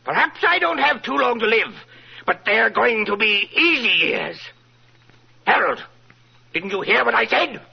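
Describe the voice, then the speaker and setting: A rich old businessman in a vintage radio mystery, smug and suspicious. His husky voice swings widely in pitch as he repeats himself with theatrical grandeur. The recording is muffled and old. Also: sing-song, a little slow, long pauses, exaggerated voice. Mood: wistful. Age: older. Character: wealthy, paranoid, self-satisfied old businessman. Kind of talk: 1940s radio acting.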